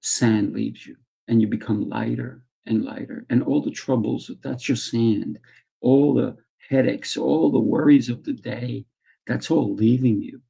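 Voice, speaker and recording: A man who teaches martial arts, speaking in a calm, soft guiding way as a podcast guest. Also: medium pitch, measured pace, creaky, slightly rough, rough recording. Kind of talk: conversational interview talk.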